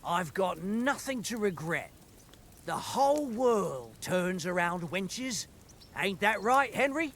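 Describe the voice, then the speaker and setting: A rowdy, brash soldier. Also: male voice, sits high, very animated, takes it slowly, fairly bright tone, a little hiss or hum, heavily acted. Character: boisterous soldier, fond of drink and women.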